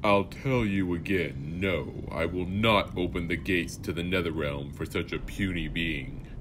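Very deep, haughty gatekeeper demon. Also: male voice, measured pace, noisy background. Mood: contemptuous. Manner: imperious, condescending. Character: haughty, contemptuous male guardian of the Netherrealm gates.

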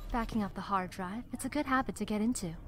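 A woman's voice: medium pitch, fast talker, faint music behind, natural voice. A woman in a fan dub playing a cool, guarded, wry super-spy agent. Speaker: amateur voice actor in a fan dub. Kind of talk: fan dub film acting.

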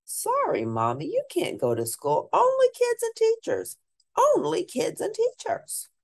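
A woman voicing two small children who firmly tell the grown-ups no, in a high singsong voice. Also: huge pitch swings, a little slow, exaggerated voice.

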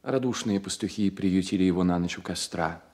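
An actor playing a proud, witty young poet in a radio drama, with measured, declamatory delivery. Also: male voice, sits low, a little mellow. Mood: reflective. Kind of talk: Soviet radio drama acting.

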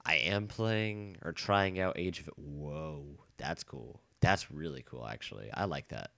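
A young man with a bad cold and a nasal, stuffy voice, chatting casually and rambling excitedly while playing a game. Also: a bass voice, a little slow, gravelly, no room sound. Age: young adult. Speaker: let's play gamer. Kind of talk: casual gameplay commentary. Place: dorm room.

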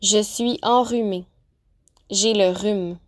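A woman teacher narrating a lesson, pronouncing example phrases slowly and carefully. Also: mid-range voice, steady tone. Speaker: French-as-a-second-language teacher. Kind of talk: clear, deliberate vocabulary lesson narration.

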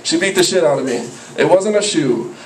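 A man's voice: fairly high pitch, lively intonation, moderate pace. A young man performing open mic stand-up on a handheld mic, rambling and profane, jumping into yelped character voices. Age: young adult. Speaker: stand-up comedian. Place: small cafe with a live audience. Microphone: handheld mic, filmed from a far camera. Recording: roomy, distant camera audio with room noise. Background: audience laughter.

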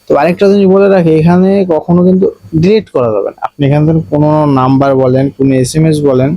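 Bangladeshi guy doing a tech how-to, soft mellow tone, dry close sound, explains stuff step by step to viewers. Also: a little high, fairly even intonation, fast talker, no echo. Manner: repetitive, explanatory, addresses viewers directly. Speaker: tech tutorial host. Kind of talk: casual step-by-step phone tutorial narration.

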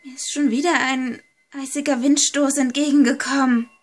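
High-voiced, frightened girl acted by a woman. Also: little pitch movement, normal speed, close to an everyday voice. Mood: nervous. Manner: hesitant, anxious. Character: frightened girl exploring a haunted villa. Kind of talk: amateur horror audio drama acting.

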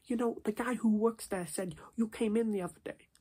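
A young man voicing a stern, scolding New Jersey mob boss in a hushed, husky ASMR roleplay. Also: sits high, steady tone, brisk. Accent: put-on New Jersey mobster. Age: 20s. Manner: repetitive, slangy, stern with checking tags. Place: small domestic room, indoors.

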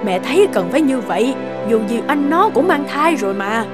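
A woman's voice: high voice, animated, a little fast, loud music behind the voice. A woman voicing a stern, scheming older mother-in-law in a cartoon, speaking firmly and lecturing. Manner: firm and lecturing. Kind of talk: cartoon dub acting.

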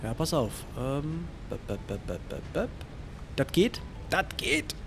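A man's voice: fairly high pitch, big pitch swings, takes it slowly, over music. A man giving casual let's play commentary, thinking aloud and chatting to himself and his viewers.

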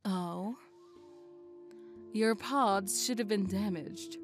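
A woman's voice: mid-range voice, extremely slow. Woman as haughty robot commander, music behind. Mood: cold, commanding. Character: imperious, disdainful female robot commander. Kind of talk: fan comic dub acting.

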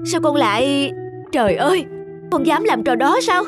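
A man's voice: very high voice, expressive, normal speed, loud music behind the voice. A man voicing a hard-working, honest father in a cartoon, earnest and fatherly. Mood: shocked, stern. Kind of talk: cartoon dubbing.

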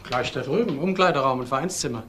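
A man playing an excitable, suspicious restaurant landlord in a crime radio drama, talking briskly. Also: medium pitch, noisy background, natural voice. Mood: helpful, flustered.

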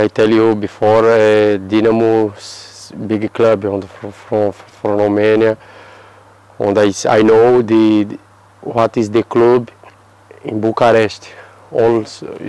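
Slow, low Brazilian man in halting English. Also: flat delivery, dark tone, clean tone, no echo, low-quality recording. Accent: Brazilian Portuguese. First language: Portuguese. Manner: halting non-native English, many restarts. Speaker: football player. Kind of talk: interview answers. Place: outdoor terrace by a yellow building.

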